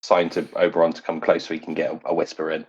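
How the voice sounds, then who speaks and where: A man playing a tabletop role-playing game, improvising in casual, halting talk that often repeats words. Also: a bass voice, completely flat delivery, creaky. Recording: dull, slightly lo-fi recording.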